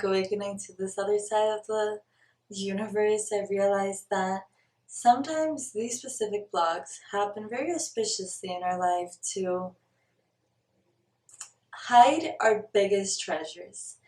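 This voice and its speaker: A woman's voice: mid-range voice, darkish tone. Brazilian-born woman in a roomy space. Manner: earnest and searching, stops to look for words. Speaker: spiritual vlogger. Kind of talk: reflective personal talk to camera. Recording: roomy, mic at a distance.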